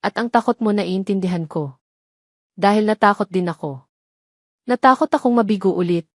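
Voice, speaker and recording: A woman voicing a sincere, regretful man in love in a romantic audio drama, earnest and soft-spoken as he vows devotion. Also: medium pitch, normal speed, no echo, studio quality, close to an everyday voice.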